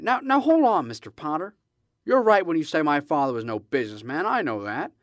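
An actor playing an earnest, impassioned young small-town man in a radio drama, stammering and halting with emphatic delivery. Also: high-pitched, no room sound, studio quality.

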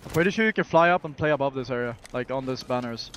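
A man's voice: mid-range voice, quick pace, fairly bright tone, a little hiss or hum. A man playing as an esports team player, giving casual, urgent in-game callouts and often repeating short phrases. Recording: game voice chat over gunfire. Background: gunfire and game sounds.

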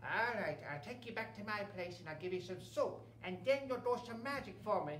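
A man playing a demanding, exasperated farmer in a children's folktale, loud and scolding. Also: high voice, animated, fairly quick, voice pushed up. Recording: roomy, distant camera mic, faint hum.

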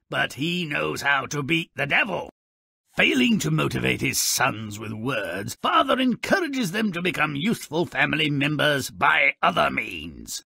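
A man voicing a sardonic, mischievous, grotesque little fairy-tale creature who narrates a video game with raspy, theatrical, gleefully macabre sarcasm. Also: a little high, bright, forceful tone, dry recording, clean studio sound, heavily acted. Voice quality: raspy, gravelly. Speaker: character narrator.

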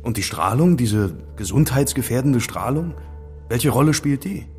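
An actor playing a stubborn young student investigator, wry and questioning, in a German audio drama. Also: male voice, mid-range voice, animated, normal speed, a little mellow, faint music behind, natural voice. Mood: probing.

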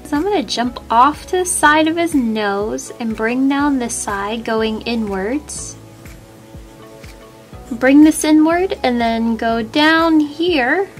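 A woman's voice: sits high, expressive, slow pace, faint music behind. A woman hosting a step-by-step drawing tutorial, friendly and easygoing, a little sniffly from a cold. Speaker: art tutorial host.

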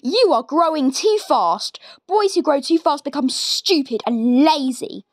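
A woman's voice: high voice, lively intonation, a little slow, no echo, studio quality. A woman voicing a tiny, nasty, bossy old grandmother who snaps and scolds in a read-aloud story. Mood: scolding. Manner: snapping, scolding.

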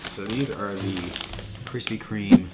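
A man's voice: on the low side, takes it slowly, poor audio. Man in his thirties, congested and nasal, casual food-vlog chatter at the kitchen table over music. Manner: chatty, animated, with frequent restarts. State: nasal from a cold. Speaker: food vlogger. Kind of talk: casual snack review to camera. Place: home kitchen, indoors.

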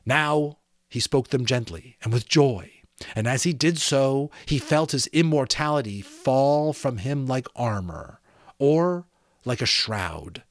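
A man in his thirties reads a fantasy novel aloud as an expressive, dramatic audiobook narrator, close to a desk microphone in a small room. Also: medium pitch, slow pace, no room sound. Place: small home room with sloped ceiling, indoors.

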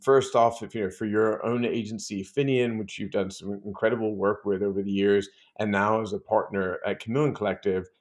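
A man's voice: lowish voice, steady tone, fairly quick, hardly any pauses. A middle-aged man hosting a podcast over a video call, chatty and friendly, often restarting his sentences. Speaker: podcast host. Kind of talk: casual interview chat and scripted intro. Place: home office with bookshelves.

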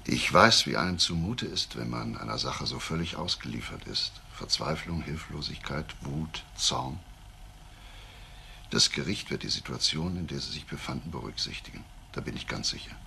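Radio play cop, crackly gravel voice, lively intonation, understanding but probing. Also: male voice, a bass voice, full character voice. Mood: sympathetic, probing. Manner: measured, sympathetic questioning. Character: calm, understanding male police investigator questioning suspects. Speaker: actor. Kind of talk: German crime radio play acting.

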